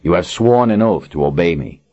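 Brief: male reader as a domineering, menacing lord, low and breathy, slow threats. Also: animated, dark tone. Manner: cold, commanding, menacing. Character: arrogant, cruel usurping lord, domineering man. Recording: dull lo-fi audiobook recording.

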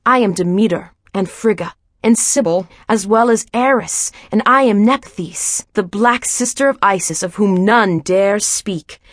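A woman reads an intimate first-person monologue in an audiobook, musing at a middle pitch. The sound is dry and close. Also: unhurried, hardly any pauses, no room sound. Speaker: narrator.

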